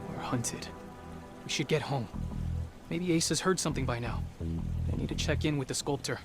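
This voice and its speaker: A young man playing a stern, dutiful Spartan cadet with a formal, measured delivery in a video game. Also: a little high, natural voice. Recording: game music behind the voice.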